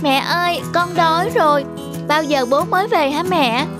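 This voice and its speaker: A woman's voice: extremely high pitch, moderate pace, music in the background. A woman voicing a plaintive little girl in a cartoon, pleading. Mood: plaintive, hungry. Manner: pleading, childlike.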